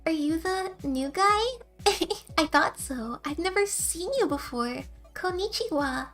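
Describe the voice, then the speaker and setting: A young woman with a high, soft, quiet voice chats casually with her viewers. She rambles and giggles, drifting between stories. Faint music plays quietly behind her. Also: lively intonation, unhurried. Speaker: streamer.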